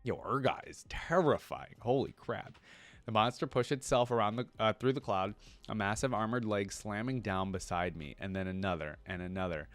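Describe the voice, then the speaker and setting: A man's voice: on the low side, normal speed, no room sound. A man hosting a reaction video, reading a story aloud and commenting casually as a fan. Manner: informal slangy fan talk.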